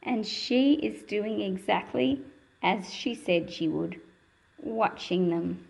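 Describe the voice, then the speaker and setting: A woman's voice: mid-range voice, slow pace, gravelly, a little mellow, low-quality recording. A woman reading a picture book aloud to young children and explaining it in simple words, in a patient, teacherly manner.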